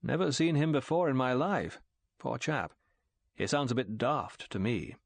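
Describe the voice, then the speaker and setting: A man's voice: medium pitch, lively intonation, a little slow, vocal fry, no echo. A man voicing a cool British secret agent who talks in a diffident, offhand manner. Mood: indifferent.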